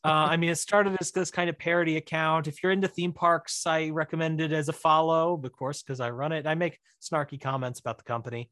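A man speaks as a guest in a relaxed podcast conversation. His voice sits a bit higher than most men's, and the sound is very dry. He talks informally, often repeating a phrase to underline it. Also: fairly quick, few pauses, no echo. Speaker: guest, writer-director. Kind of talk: casual podcast chat.

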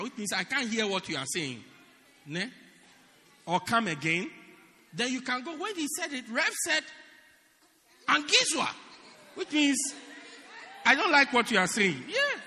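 A man preaching a dramatic sermon as pastor in a church, swinging from loud shouts to quiet asides. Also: high-pitched, in a large hall.

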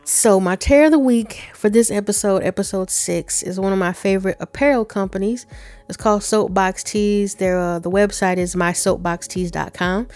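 A woman hosting a podcast in a brisk way, spelling out web addresses letter by letter. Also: mid-range voice, darkish tone, no room sound. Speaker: podcast host.

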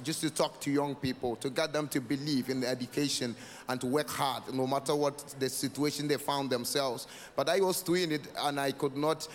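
Young Ghanaian man speaking in echoey hall. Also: a little high. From Northern Ghana. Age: 20s. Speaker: project founder, guest speaker. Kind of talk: personal speech of thanks. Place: large event hall. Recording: echoey hall with background noise.

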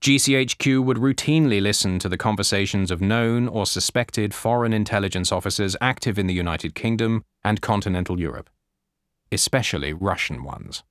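A man narrates a nonfiction audiobook in a fairly low voice. His reading is scripted, measured and carefully articulated. The sound is dry, as recorded in a studio with little room around it. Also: fairly bright tone, no room sound.

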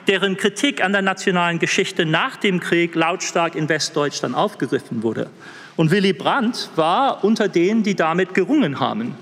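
A man's voice: sits high, fairly bright tone. English-accented man lecturing in a hall. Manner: measured, reads a prepared text with occasional slips. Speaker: guest lecturer. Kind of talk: formal academic lecture.

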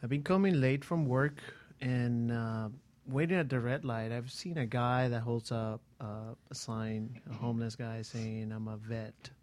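A man's voice: medium pitch, fairly even intonation, unhurried, a little mellow. A male talk show host casually telling an anecdote about his week. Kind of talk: casual chat.

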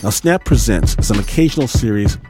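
A man hosting a podcast, announcing like a showman with rhythmic, theatrical, drawn-out phrasing. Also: on the low side, animated. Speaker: podcast host. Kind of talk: showman-like radio announcing over music. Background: hip hop music.

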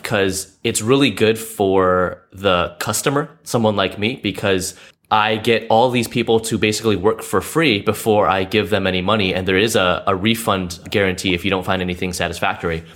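Very deep-voiced man explaining. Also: fairly bright tone. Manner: measured, explanatory, with fillers. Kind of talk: casual chat.

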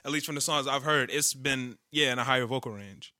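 A man's voice: mid-range voice, a little fast, bright, forceful tone, dry recording, studio quality. A man hosting a casual interview over a video call, laid-back and slangy. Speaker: interview host.

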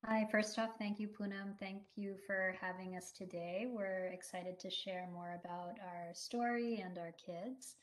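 A woman's voice: mid-range voice, fairly even intonation, unhurried, few pauses. A woman who grew up in the United States, speaking as an interview guest in a friendly, articulate way with some fillers. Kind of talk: conversational interview.

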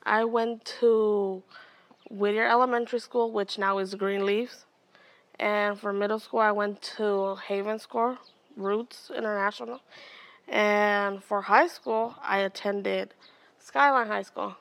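Young woman from Oakland, soft-spoken interview guest, average pitch, recorded outdoors. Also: steady tone, slow pace. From Oakland, California. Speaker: guest, educator and mentor.

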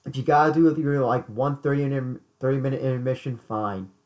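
A man co-hosting a casual podcast chat, hoarse, often stumbling and repeating words. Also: mid-range voice, quick pace, darkish tone, dull audio. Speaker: podcast co-host.

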